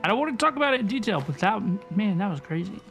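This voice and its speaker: A man's voice: sits high, big pitch swings, very fast, gravelly, a bit bright. A man livestreaming a game, talking to viewers in a chatty, informal way and often repeating his phrases. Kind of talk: casual livestream chat and game reaction. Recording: faint game music behind voice. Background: video game music.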